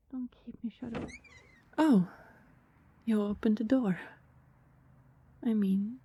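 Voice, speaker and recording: A woman performs a female vampire in love with her neighbor, persistent and anxious, in an ASMR roleplay. Her voice is soft and dark, with a breathy, creaky edge. She pleads in halting phrases that often sink toward a whisper. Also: medium pitch, dry recording, exaggerated voice. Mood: apologetic, pleading.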